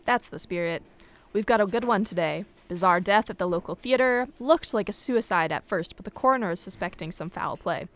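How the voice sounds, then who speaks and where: A woman's voice: mid-range voice, brisk, natural voice. A woman playing a supportive police colleague in an audio drama, briefing in an encouraging, matter-of-fact way. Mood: brisk, encouraging.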